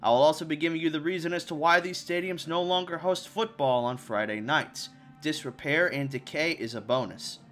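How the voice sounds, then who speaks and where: Bright-voiced, higher-pitched man narrating a video. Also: a little fast, few pauses, soft background music. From Tennessee or southwest Virginia region. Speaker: host and narrator. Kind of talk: scripted documentary-style voice-over narration.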